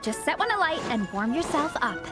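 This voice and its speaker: A woman's voice: sits high, sing-song, a little fast, over loud music. A woman voicing a supportive, confident young athlete in a video game, sincere and encouraging in the way she talks. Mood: instructive.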